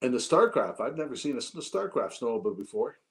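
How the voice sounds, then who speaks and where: A man's voice: medium pitch, sing-song, fast talker, breathy edge. A middle-aged man co-hosting a podcast in easygoing casual chat with short agreeing replies. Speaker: podcast co-host.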